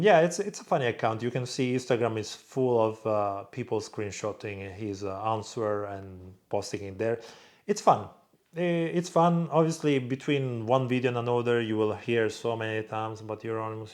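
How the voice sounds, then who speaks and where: A young man from Croatia speaks in a relaxed vlog Q&A. He answers fans off the cuff, bluntly, and swears freely. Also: mid-range voice. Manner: blunt, swears freely, reads viewer questions aloud in a louder voice. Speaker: vlog host answering viewer questions. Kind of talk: casual Q&A monologue.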